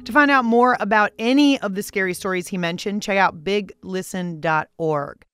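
A woman's voice: medium pitch, normal speed, fairly bright tone, clean tone. An American woman speaking as a podcast host, with a playful, jokey way of narrating and interviewing. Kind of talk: scripted radio narration and interviewing.

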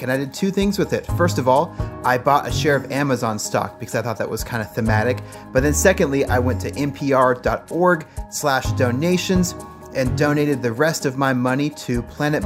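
A man from Seattle leaving a cheerful recorded message for a podcast. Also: medium pitch. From Seattle, USA. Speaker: listener caller.

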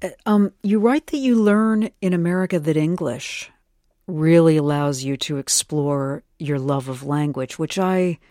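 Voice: medium pitch, a little slow, warm tone, no room sound. Thoughtful interviewer in a dry studio sound. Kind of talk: conversational radio interview.

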